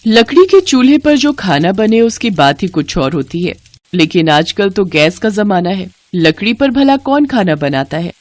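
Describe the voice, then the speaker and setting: A woman storyteller reading a scripted bedtime moral tale in an expressive narrating style. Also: medium pitch, moderate pace.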